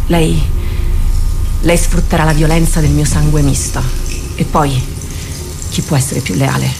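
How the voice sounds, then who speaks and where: Low, gravelly-voiced warrior commander. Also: female voice, fairly even intonation, over music. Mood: proud, measured. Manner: formal, deliberate, intense. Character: proud, disciplined mixed-blood female army commander, loyal and weary. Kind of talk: theatrical radio drama acting.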